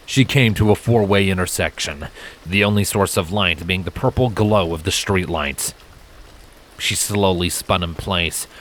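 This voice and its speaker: A man's voice: lowish voice, a bit bright, slight background noise, low-quality recording. A man narrating a fantasy story in a dramatic, scripted storytelling style. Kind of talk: dramatic fan fiction narration. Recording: rain sound effects behind voice. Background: rain ambience.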